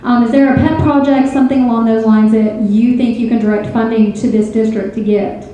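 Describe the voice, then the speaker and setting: Brisk, polite female moderator, soft-toned, heard off-mic in a large hall. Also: on the high side, steady tone. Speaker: forum moderator. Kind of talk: moderating a candidate forum. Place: city auditorium. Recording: echoey hall, distant from the mic.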